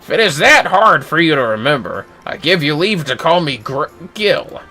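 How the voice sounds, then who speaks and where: A man's voice: fairly high pitch, very animated, normal speed, bright, forceful tone, faint music behind, pitched below the performer's own voice, heavily acted. A man voicing a dramatic, boastful wandering warrior in a video game, speaking in a florid, theatrical way. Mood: courteous, wistful.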